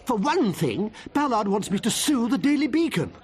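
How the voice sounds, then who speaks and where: An actor playing a flustered, indignant English barrister in a radio comedy drama, stammering and plaintive. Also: male voice, very high-pitched, big pitch swings, normal speed, husky, heavily acted. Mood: anxious, plaintive. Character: flustered, indignant male barrister, anxious and hapless.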